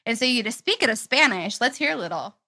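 A woman's voice: a little high, big pitch swings, quick pace, sharp tone. A woman hosting a casual interview, talking in a gushing, slangy way. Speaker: host, interviewer. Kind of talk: casual chat.

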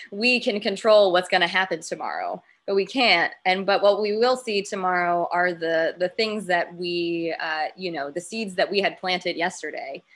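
Bright-voiced woman musing aloud. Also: lowish voice. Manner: reflective, thinking aloud. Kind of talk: casual group conversation.

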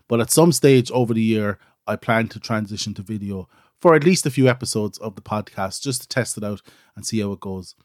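A man's voice: on the low side, no room sound, studio quality. A middle-aged Irish man hosting his own podcast in a casual, rambling, self-deprecating chat full of "so" and "you know". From Ireland. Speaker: podcast host.

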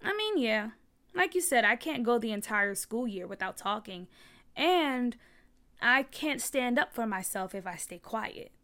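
A woman's voice: on the high side, animated, unhurried, pushed and bright, heavily acted. A woman voicing an upset, whiny young schoolboy in a storybook, with a pleading, emphatic, slangy way of talking. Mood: more confident.

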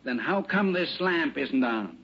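An actor in an old-time radio drama playing a skeptical, needling police officer who questions with mocking disbelief. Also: male voice, mid-range voice, animated, measured pace, creaky, bright, forceful tone, breathy edge, heavily acted. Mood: sarcastic grilling. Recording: dull, band-limited vintage radio recording.

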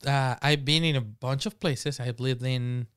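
A man's voice: mid-range voice, fairly even intonation, a little slow, fairly bright tone, clean tone. A man with a Mexican Spanish accent, Spanish his first language, chatting casually as a podcast guest, laughing often and swearing.

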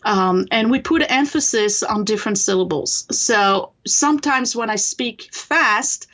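A woman's voice: medium pitch, a little slow. A woman in her fifties from France with a French accent, talking as a guest in a casual, earnest podcast interview. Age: 50s. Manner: earnest and expressive.

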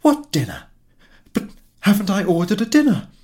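A man voicing a flustered, cowardly country gentleman in a story, blustering, bossy and full of panicky exclamations. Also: sits high, huge pitch swings, moderate pace, pitched above the performer's own voice. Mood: dismayed.

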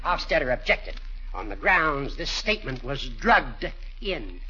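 An actor playing a fussy, pompous older judge who talks formally and testily, in a 1940s radio comedy style. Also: male voice, medium pitch, big pitch swings, measured pace, bright, forceful tone, breathy edge, full character voice. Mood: pompous, jovial. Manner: formal, wordy, testy. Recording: dull, noisy 1940s radio recording.

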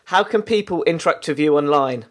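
A man's voice: on the high side, expressive, a little fast. A man hosting a podcast, addressing listeners in an earnest, emphatic, motivational way. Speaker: podcast host.

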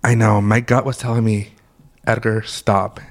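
A young man with a fairly low voice, hoarse and raspy from just waking up. He rambles through casual stories with a joking, laughing manner, chatting as a podcast co-host. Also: level tone, measured pace. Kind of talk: casual chat.

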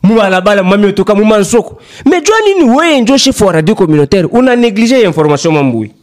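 An actor playing an animated, talkative man who keeps urging his friend, in a radio play with a Congolese accent. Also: sits high, quick pace. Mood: reproachful. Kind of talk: radio play dialogue in colloquial register.

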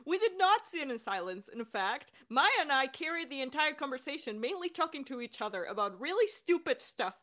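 A woman's voice: high-pitched, very animated, a little fast, few pauses, a bit bright. A young adult woman chatting casually as a podcast director and co-host in a creator Q&A.

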